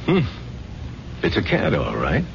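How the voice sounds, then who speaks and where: An actor playing a gruff, skeptical police chief with a dry, laconic delivery in a radio drama. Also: male voice, low-pitched, wildly swooping pitch, a little slow, heavily acted. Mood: dry, unmoved. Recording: muffled, noisy 1970s radio drama recording.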